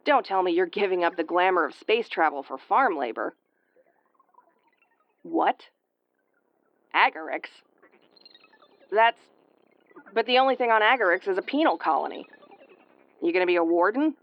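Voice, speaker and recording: A woman's voice: lowish voice, lively intonation, normal speed, long pauses, dry recording, highs cut off, lightly acted. A woman playing a tough, prickly but secretly caring tsundere starship commander in an intimate audio roleplay, brusque and prone to stammering when flustered. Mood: guarded, teasing.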